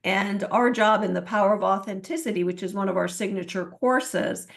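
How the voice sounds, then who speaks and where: A woman from Iran talks earnestly about her coaching work, with a gravelly, creaky edge to her voice. She hesitates often and restarts her sentences. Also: medium pitch, steady tone, normal speed. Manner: earnest, many fillers and restarts. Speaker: guest, transformational coach. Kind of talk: interview answers.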